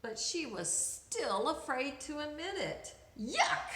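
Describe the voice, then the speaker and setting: A woman reading a picture book aloud for children, with dramatic, deliberate emphasis, in an echoey room. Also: sits high, very animated, fairly bright tone. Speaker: children's story reader.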